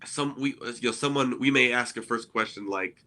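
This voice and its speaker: A man's voice: mid-range voice, moderate pace, pushed and bright. A man who grew up in Argentina, co-hosting a conversational discussion. He explains ideas earnestly and often checks in with "right?". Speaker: co-host, ministry innovation speaker.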